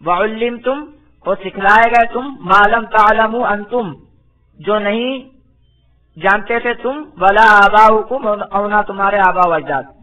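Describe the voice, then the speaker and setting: A man's voice: sits high, a little slow, bright, forceful tone, muffled recording, soft background music. A man giving a religious lecture as a Quran teacher, reciting verses phrase by phrase, then translating and explaining them with didactic repetition. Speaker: Islamic scholar, Quran teacher.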